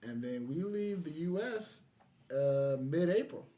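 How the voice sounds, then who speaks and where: A man's voice: a little high, expressive, slow, warm tone. An American man from California talking casually as a podcast host. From California, United States.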